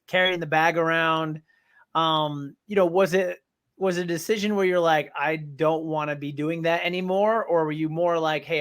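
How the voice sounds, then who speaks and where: A man's voice: on the high side, a bit bright, clear, resonant tone. A man hosting a casual interview, informal and agreeable as he asks questions. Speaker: podcast host, interviewer.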